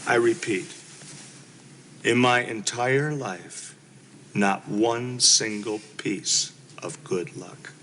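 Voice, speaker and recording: An actor playing a self-pitying husband who swings from whining to shouting in a comedy scene. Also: male voice, high voice, big pitch swings, moderate pace, a little hiss or hum, heavily acted. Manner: melodramatic, whining then shouting. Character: self-pitying, explosive middle-aged husband.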